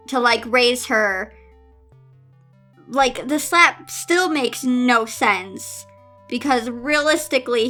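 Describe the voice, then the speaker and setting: A woman's voice: fairly high pitch, bright, forceful tone. A woman hosting a story reading with casual commentary, informal and exasperated in manner. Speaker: host reading and reviewing fan fiction. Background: music.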